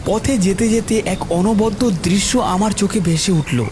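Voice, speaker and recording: A man's voice: high-pitched, moderate pace, a little mellow, a little hiss or hum. A man narrating a scripted horror story in the first person.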